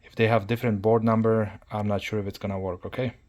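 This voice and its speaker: A man narrating a casual hands-on repair tutorial, speaking haltingly and repeating words as he works. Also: on the low side, flat delivery, darkish tone, a little hiss or hum. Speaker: hobbyist demonstrating a radio repair.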